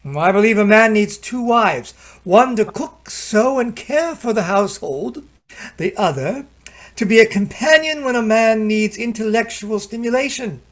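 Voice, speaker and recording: A man acts a pompous Edwardian gentleman in an old-time radio play, with a notably high voice. He is a jovial, patronising husband who talks in a genteel, teasing way. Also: animated, measured pace. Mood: self-satisfied.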